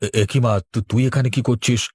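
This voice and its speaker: A man's voice: medium pitch, fairly even intonation, normal speed, darkish tone, breathy edge, no room sound, clean studio sound, close to an everyday voice. An actor playing an earnest, talkative village man in a cartoon, exclaiming and explaining. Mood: flustered. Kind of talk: Bengali cartoon dialogue.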